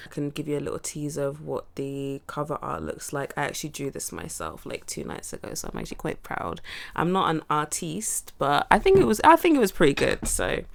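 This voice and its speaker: A woman's voice: low-pitched, vocal fry. A young woman, a singer-songwriter with a cold, chatting casually and rambling about her new song. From London. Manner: rambling, chatty, laughs at herself. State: has a cold, sniffling. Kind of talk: casual chat to camera.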